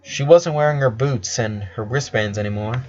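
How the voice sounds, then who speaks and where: A man reading a story aloud as an expressive narrator, voicing dialogue between passages of prose. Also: medium pitch, moderate pace, highs cut off. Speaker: narrator reading fan fiction.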